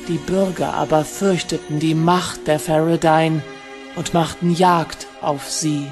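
A woman's voice: low voice, little pitch movement, measured pace, a little mellow, faint music behind. A woman narrating a fantasy audio drama prologue in a solemn, scripted style.

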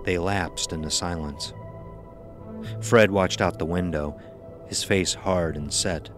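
A man's voice: deep voice, fairly even intonation, slow. A man reading a scripted horror story as its narrator. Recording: music behind the voice. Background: music.

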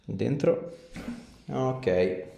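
A man's voice: mid-range voice, expressive, very slow, gravelly, dark tone, muffled recording. A man in his thirties working as a chiropractor, giving casual instructions and chatting with a patient. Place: small indoor treatment room. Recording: roomy echo of a small clinic.

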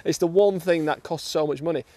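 A man's voice: a little high, a little fast, mellow. A middle-aged British man chatting enthusiastically about fishing tackle outdoors on a lake bank, often repeating words as he starts a sentence. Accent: British English. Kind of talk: casual chat.

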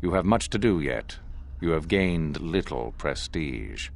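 A man's voice: extremely low pitch, expressive, a little slow, vocal fry, a little hiss or hum, exaggerated voice. A man playing a commanding older Sith master in a video game, speaking in theatrical, condescending oratory. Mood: stern. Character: commanding older male Sith master, sinister, solemn and goading. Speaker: academy master addressing recruits. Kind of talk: dramatic scripted video game acting.

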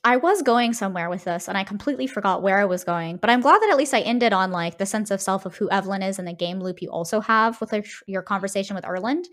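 A woman's voice: medium pitch, quick pace, hardly any pauses, fairly bright tone. A woman co-hosting a podcast, thinking aloud informally as she analyses in casual discussion. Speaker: podcast host.

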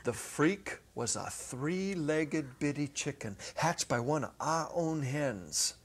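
A middle-aged man narrating a story dramatically from a script, with deliberate phrasing and pauses. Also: on the high side, expressive. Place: small indoor stage set. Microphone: clip-on lapel mic.

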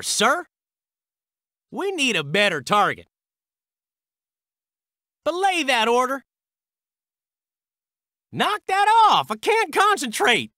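High-pitched American artillery soldier. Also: male voice, bright, forceful tone, no echo, studio quality, exaggerated voice. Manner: clipped military delivery. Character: high-strung male US Army artillery crewman. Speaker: video game unit voice. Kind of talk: military game unit barks.

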